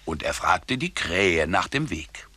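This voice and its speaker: A man's voice: low voice, big pitch swings, slow, pushed and bright, no echo. A man narrating a scripted children's story as the storyteller.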